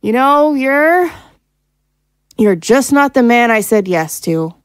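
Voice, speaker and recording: A woman's voice: fairly high pitch, sing-song, slow pace, clean tone, no echo, clean studio sound. A woman playing a hurt, fed-up wife and mother in an audio drama, pleading and accusatory as she confronts her husband. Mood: hurt, resolute. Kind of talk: dramatic audio screenplay acting.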